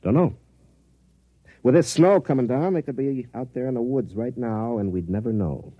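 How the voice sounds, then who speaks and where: An actor playing a cynical, hard-drinking middle-aged army captain with an American accent, wry and laconic, in old-time radio drama style. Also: male voice, medium pitch, normal speed, close to an everyday voice. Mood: grim, matter-of-fact. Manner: wry, laconic, self-deprecating. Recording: muffled vintage radio broadcast, music behind.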